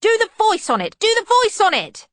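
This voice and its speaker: A bloodthirsty little boy from a storybook, played by a woman, egging everyone on. The voice is shrill and high, shouted with excitable eagerness. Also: very fast, no echo, studio quality. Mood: excited.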